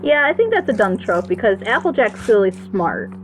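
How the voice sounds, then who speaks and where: A woman's voice: mid-range voice, big pitch swings, normal speed, poor audio. A woman giving casual running commentary as she reacts to a show with others. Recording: music and show audio behind.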